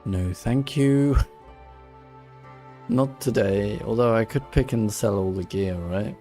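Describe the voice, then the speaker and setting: A man's voice: on the low side, moderate pace, dark tone, soft background music. A man giving casual playthrough commentary on a video game, thinking aloud and muttering to himself as he plays. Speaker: streamer playing a video game.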